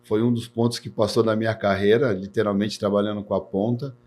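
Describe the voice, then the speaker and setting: A man's voice: on the low side, little pitch movement, a little fast, a little mellow, a little hiss or hum. A man in his forties from Brazil, an insurance executive talking earnestly in a podcast interview and often adding "né". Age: 40s. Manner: earnest, repeats words, tags sentences with "né".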